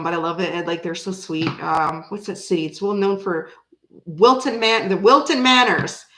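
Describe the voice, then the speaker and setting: A woman in her forties, raised in Florida and bilingual in French, with an American accent. She chats casually with live viewers, rambling and breaking into sudden animated bursts. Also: mid-range voice, roomy sound, low-quality recording. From Canada, raised in Florida. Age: 40s. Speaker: tarot reader chatting with live viewers. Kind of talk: casual livestream chat.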